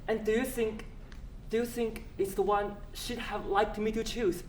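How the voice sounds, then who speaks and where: An actor playing an older man, tender and earnest, who speaks haltingly in a stage play. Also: high voice, level tone, natural voice. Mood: anxious, tender. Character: elated, tender old man recalling his lost love. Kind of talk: stage acting. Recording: roomy stage sound with noise.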